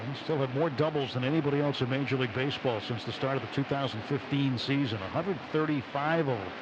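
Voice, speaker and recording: A male co-commentator talks baseball in a conversational way at a middle pitch. The radio sound is slightly dull, with very loud outdoor ballpark noise behind him. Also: fast. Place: open broadcast booth at outdoor ballpark. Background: loud stadium ambience.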